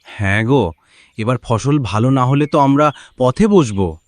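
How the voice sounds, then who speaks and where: A man's voice: mid-range voice, a little slow, no echo, studio quality, close to an everyday voice. A man playing a poor, hopeful, devout village farmer in a cartoon, exclaiming in an earnest, folksy way. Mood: worried. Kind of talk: Bengali cartoon voice acting.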